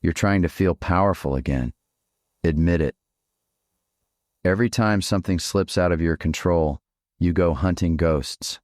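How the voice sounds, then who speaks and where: A man playing a steely middle-aged father, a ghost in an audio drama, speaking in a measured, admonishing way. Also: deep voice, darkish tone, no room sound, clean studio sound. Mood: stern, accusing. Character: hallucinated ghost of a father, steely, confrontational but gentle.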